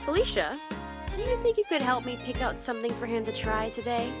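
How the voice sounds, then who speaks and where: A woman's voice: on the high side, very animated, brisk, over loud music. A woman voicing a friendly, mischievous schoolgirl in a visual novel, with a casual, coaxing way of talking. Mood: sly, coaxing. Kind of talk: visual novel dub acting.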